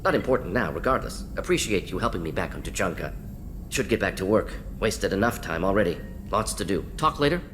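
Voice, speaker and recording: An actor voicing a brainy alien scientist in a video game, speaking in clipped, analytical, matter-of-fact phrases. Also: male voice, sits low, expressive, a little fast, background noise. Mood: brisk, dismissive. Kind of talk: video game dialogue acting.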